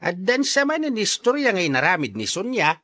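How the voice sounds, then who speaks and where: A man's voice: high voice, big pitch swings, brisk, sharp tone, full character voice. An actor playing a blunt, exasperated man who questions a gossip in a radio drama. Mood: concerned.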